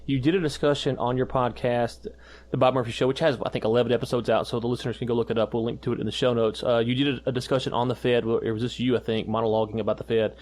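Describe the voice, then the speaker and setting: A male podcast host talks quickly and casually, setting up questions and plugging episodes for listeners. He has a mid-pitched voice. His delivery is relaxed and chatty. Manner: fast, loose, with fillers and restarts.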